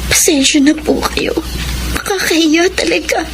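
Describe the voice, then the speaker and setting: A woman's voice: high-pitched, moderate pace, over music. An actress playing a timid, remorseful and respectful young woman who speaks hesitantly in a radio drama. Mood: tearful, ashamed. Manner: hesitant, deferential. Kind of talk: Filipino radio drama acting. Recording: clearly noisy background ambience.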